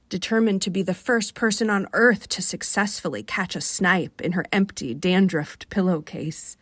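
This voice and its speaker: A woman with a Rochester, New York accent reading a short story for radio in an expressive, wry manner. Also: on the low side, dry recording. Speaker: author reading aloud.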